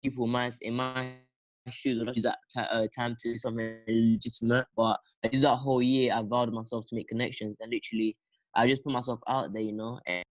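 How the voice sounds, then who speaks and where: A man's voice: medium pitch, level tone, fairly quick, dry recording, poor audio. Teenage boy, dry sound. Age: teens. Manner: rambling, laughing, slangy with many fillers. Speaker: podcast guest. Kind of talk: casual chat.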